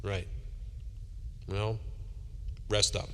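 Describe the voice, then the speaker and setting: An actor playing a tired, caring spaceship navigator, talking casually in a sci-fi audio drama. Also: male voice, fairly low pitch, flat delivery, very slow. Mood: weary, kindly. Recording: roomy hall reverb, faint noise.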